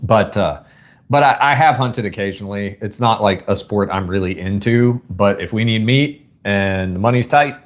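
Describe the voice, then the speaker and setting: A man's voice: on the low side, moderate pace. A man with a Southern US accent, hosting a live stream at home in a folksy, rambling chat. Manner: rambling, folksy, says y'all and ain't. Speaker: livestream host.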